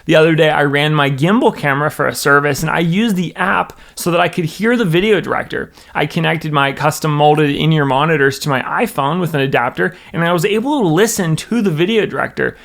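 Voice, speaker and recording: American man with higher, creaky voice. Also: a little fast, few pauses, a bit bright. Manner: instructional, methodical. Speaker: presenter, church production director. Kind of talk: scripted product review narration.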